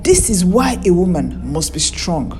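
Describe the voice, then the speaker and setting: A scornful village woman from a folktale, acted by a woman storyteller. She is stern and disapproving, speaking in a mellow timbre with lively swings of pitch. Faint music sits behind her. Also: unhurried. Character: scornful, judgmental village women, elders among them.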